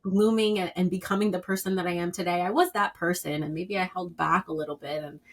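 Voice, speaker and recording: A woman in her thirties speaks candidly as an interview guest, pressing each point with emphasis. Her voice sits a little low in her range and jumps up loudly when she pushes hard. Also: steady tone, fast, slightly rough. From Puerto Rican and Dominican background. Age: 30s. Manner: earnest, emphatic, rising to a loud pitch when stressing a point. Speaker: podcast guest.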